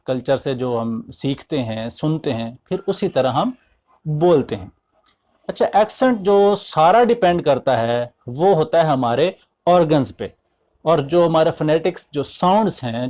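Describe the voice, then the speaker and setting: Higher-pitched Pakistani man teaching. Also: a little mellow. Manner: deliberate, explanatory, switches between English and Urdu. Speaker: English language teacher. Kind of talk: instructional lecture to students.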